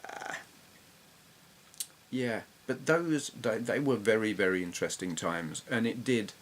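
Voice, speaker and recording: A middle-aged man with an English accent and a low-pitched voice chats casually. He rambles and reflects, pausing as he gathers memories. There is some background noise on the recording. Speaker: retro gaming YouTuber. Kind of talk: casual gameplay commentary and reminiscence.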